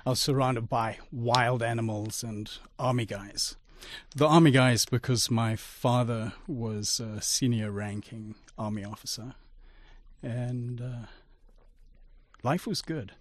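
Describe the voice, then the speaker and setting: A middle-aged man from Zimbabwe, a radio interview guest telling his life story in an articulate, measured way. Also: mid-range voice, steady tone.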